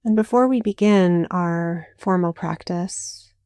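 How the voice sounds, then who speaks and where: A woman guiding a meditation as a yoga nidra teacher, speaking calmly and soothingly in short phrases with long pauses. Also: on the low side, smooth, no echo, clean studio sound. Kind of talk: guided meditation, slow spoken instruction.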